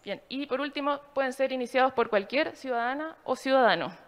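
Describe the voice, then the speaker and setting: A woman giving a formal, explanatory slide presentation in a measured way. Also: high-pitched.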